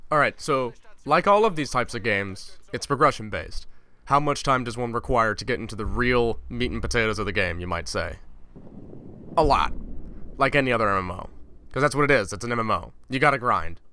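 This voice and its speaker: A man's voice: mid-range voice, lively intonation, brisk, pushed and bright. A man giving casual, ranting commentary as a gaming YouTuber, blunt and profane, with stumbling repeats as he talks.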